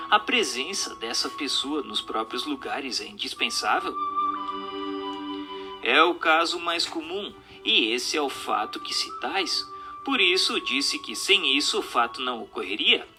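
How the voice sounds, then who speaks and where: Um ator brasileiro interpretando uma voz solene e instrutiva numa radionovela espírita, em tom formal e declamatório. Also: male voice, medium pitch. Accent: Brazilian Portuguese. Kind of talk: scripted radio drama reading of a spiritist question-and-answer dialogue.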